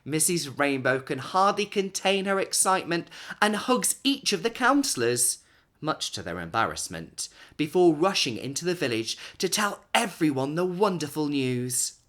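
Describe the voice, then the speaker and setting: A man in his thirties reads a children's story aloud expressively, with dramatic pauses and emphasis. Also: on the high side. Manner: animated, dramatic pauses and emphasis for young listeners.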